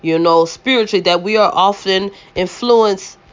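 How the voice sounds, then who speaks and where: A woman hosting a faith-based podcast as an apostle, with a loud voice, talking in a rambling way with many restarts and fillers. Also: medium pitch, moderate pace, clear, resonant tone, muffled recording.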